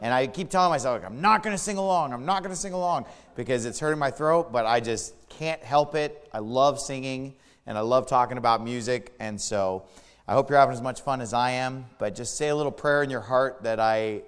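A man's voice: medium pitch, a little fast, smooth, in a large hall. A man preaching a sermon as pastor, his voice worn from days of talking and singing, speaking emphatically and asking rhetorical questions. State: strained voice from overuse.

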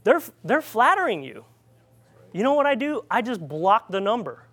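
A man with a Filipino accent and a notably high-pitched voice preaches in an informal, rambling way. He tells stories with frequent fillers and restarts. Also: big pitch swings, moderate pace, a bit bright. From Philippines. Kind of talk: informal sermon storytelling.

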